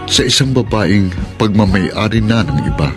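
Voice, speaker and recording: A man's voice: lowish voice, expressive, moderate pace, over loud music, close to an everyday voice. An actor with a Filipino accent playing a heartbroken, impatient young man in a radio drama, wounded and emotional. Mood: sorrowful.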